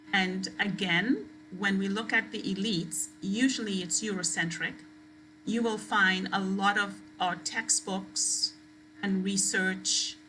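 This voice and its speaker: A woman from Trinidad and Tobago, giving an academic lecture as a guest speaker in a deliberate, emphatic way. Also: mid-range voice, sharp tone, slight background noise, low-quality recording.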